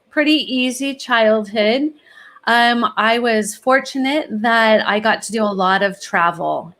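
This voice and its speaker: A woman's voice: on the high side, a bit bright. A middle-aged woman from California, a guest answering interview questions in an upbeat, encouraging and assured way. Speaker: guest, college planning executive.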